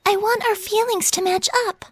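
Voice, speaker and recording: A woman's voice: very high-pitched, fairly even intonation, normal speed, fairly bright tone, no room sound. A woman voicing a shy, devoted teenage schoolgirl in an anime-style video game, girlish and sweet, with a hesitant, flustered, polite way of talking. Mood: nervous, earnest.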